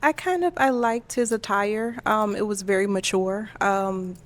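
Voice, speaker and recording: Female contestant, mid pitch, slow delivery full of fillers. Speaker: dating show contestant. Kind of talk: casual chat.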